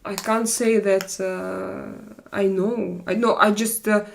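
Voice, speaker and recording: Woman streamer, unhurried and a bit gravelly, halting English full of fillers, chatty live stream host. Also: mid-range voice, flat delivery, background noise. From Russia. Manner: halting, searching for words with many fillers. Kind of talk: casual live stream chat, reading viewer comments.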